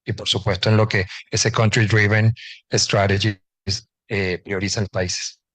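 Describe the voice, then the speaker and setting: A man's voice: fairly low pitch, flat delivery, measured pace, fairly bright tone, no room sound. A man moderating a panel, articulate and posing long framing questions. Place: conference pavilion.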